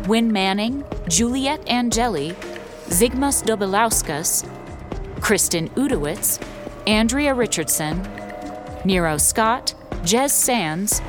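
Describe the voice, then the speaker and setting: A woman announcer reading scripted credits in a measured, formal way. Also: medium pitch. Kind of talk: scripted narration. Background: music.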